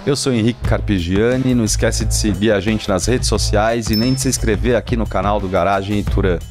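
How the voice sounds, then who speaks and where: Low-voiced man explaining car repairs. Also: brisk, music in the background. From Brazil. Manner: conversational explainer, occasional fillers and restarts. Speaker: host of a car Q&A show. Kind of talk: presenting to camera, explanatory. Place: studio set, indoors. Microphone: studio mic on stand in front.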